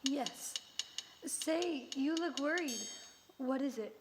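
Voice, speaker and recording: An actress playing a loyal young secretary who talks in a concerned, eager way. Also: female voice, high voice, slow, background noise. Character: loyal young female secretary, earnest and attentive.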